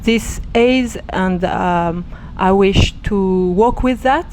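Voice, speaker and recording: A woman from Switzerland, an artist speaking English haltingly, searching for her words in an interview. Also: mid-range voice, darkish tone, a little hiss or hum, low-quality recording. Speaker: artist and director. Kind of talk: interview answers.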